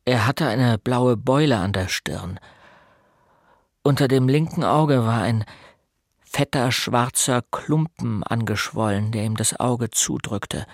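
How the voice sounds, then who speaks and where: A man's voice: medium pitch, takes it slowly, dry recording, clean studio sound. An actor playing a teenage boy who narrates grim events in the first person in a radio drama, sounding unsettled. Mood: grim, slow. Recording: loud music bed under the voice.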